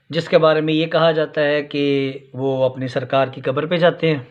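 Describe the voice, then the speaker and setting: Male commentator, challenging and repetitive delivery, muffled lo-fi audio. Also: fairly high pitch. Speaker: vlogger commenting on a sermon clip. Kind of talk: unscripted critical commentary. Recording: dull, lo-fi sound.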